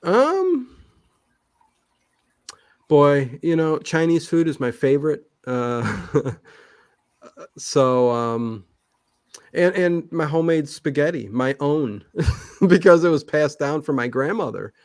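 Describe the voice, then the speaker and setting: A man's voice: on the high side, sing-song, slow, long pauses. A man chatting casually as an interview guest, rambling and often hesitating and correcting himself. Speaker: guest, online old-time radio channel host.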